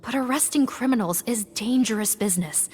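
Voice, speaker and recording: A woman's voice: a little high, a little fast, darkish tone, husky, soft background music. An actress playing a formal, cautious female officer leading her team in a video game. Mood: reluctant, concerned. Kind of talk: scripted video game dialogue.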